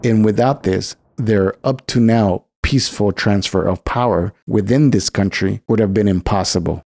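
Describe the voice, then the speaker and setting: Male narrator, low and dry-sounding, for scripted political commentary; emphatic, measured emphasis. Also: vocal fry, a little mellow, no echo. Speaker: program narrator.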